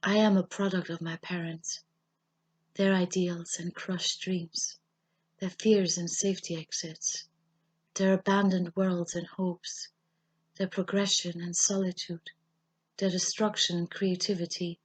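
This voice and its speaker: Husky German-accented woman, subdued and even. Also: on the low side. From German-speaking Europe. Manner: measured, solemn, deliberate phrasing with pauses. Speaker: writer reading her own essay. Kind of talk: scripted literary personal essay reading.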